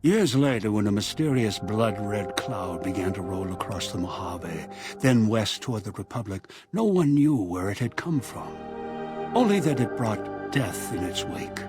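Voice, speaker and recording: An actor narrating a video game epilogue as a grave, measured storyteller of a ruined wasteland. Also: male voice, on the low side, lively intonation. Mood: somber, ominous. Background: music.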